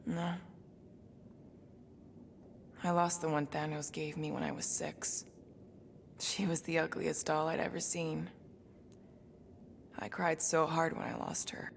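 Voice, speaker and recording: A weary, wistful female assassin from space in a cinematic video game. Her voice sits low with a gravelly fry, guarded and reflective, pausing as she goes. Faint background noise sits behind her. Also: little pitch movement. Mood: reflective, somber.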